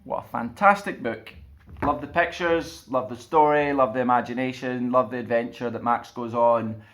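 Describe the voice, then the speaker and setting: A man hosting a storytime and reading aloud for children in an expressive storyteller's style. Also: mid-range voice, normal speed. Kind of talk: casual intro and slow expressive storybook narration.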